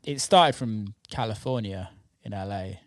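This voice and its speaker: A British man with a lowish voice talks in an informal, enthusiastic way. He swears freely and chats as if with a mate. The recording is close and dry, with little room sound. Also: unhurried, dry recording. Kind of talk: casual chat about food.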